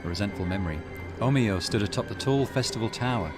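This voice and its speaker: A man hosts a let's play of a story game, reading the text aloud and commenting on it. His voice sits fairly low, with game music clearly playing behind him. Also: expressive, fast talker. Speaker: gaming channel host.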